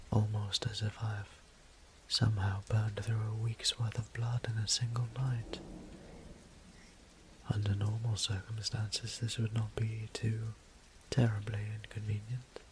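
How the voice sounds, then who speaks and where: A man playing a weary, courteous immortal vampire priest in an audio drama, speaking formal, old-fashioned English in a breathy, gravelly near-whisper. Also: on the low side, a little hiss or hum, close to an everyday voice, rough recording. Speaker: voice actor. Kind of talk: scripted audio drama monologue.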